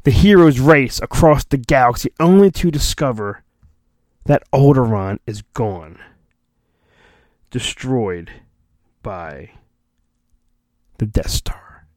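A man's voice: mid-range voice, lively intonation, slow pace, long pauses, darkish tone, dry recording, clean studio sound. A man hosting a podcast, reading a storybook in a theatrical, mock-dramatic way and breaking off into rambling, sarcastic asides. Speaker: podcast host.

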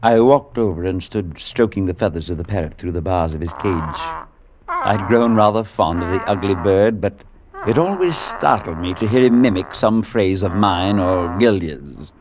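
An actor playing a compassionate, thoughtful priest in measured, literate 1940s radio drama style. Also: male voice, low voice, animated, background noise. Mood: grave, reflective. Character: thoughtful, compassionate priest recalling an eerie tale. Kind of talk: 1940s radio acting and narration. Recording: dull vintage 1940s radio broadcast, music underneath.

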